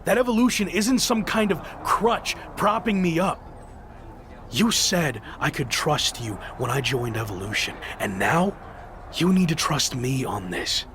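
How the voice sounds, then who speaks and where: A headstrong young champion wrestler in a game story scene. His voice is high and swings widely as he insists and defies, with faint noise behind it. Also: very animated, unhurried, a bit bright. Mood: determined. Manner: insistent, defiant. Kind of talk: video game cutscene acting.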